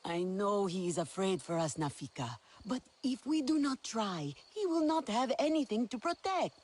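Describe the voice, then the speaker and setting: A woman playing an earnest, headstrong young alien warrior in a video game, with a husky voice. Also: mid-range voice, big pitch swings, moderate pace, a little mellow. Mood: earnest resolve. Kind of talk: dramatic video game acting.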